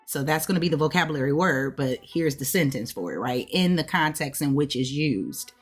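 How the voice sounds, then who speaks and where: An American woman with a low voice speaks in a casual vlog style, addressing viewers directly. She explains things conversationally and often checks in with small tag words, over faint music. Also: animated, fairly quick, slightly rough. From United States. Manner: conversational, checks in with okay, right, all right. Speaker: language learner hosting a video channel.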